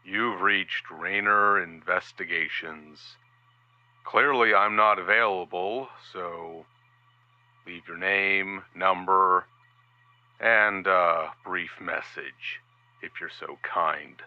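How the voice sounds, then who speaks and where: An actor playing a gruff, guarded middle-aged private investigator who warns a caller off curtly over the phone. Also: male voice, low-pitched, slow, no echo, dull audio. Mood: flat. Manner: curt, warning, guarded.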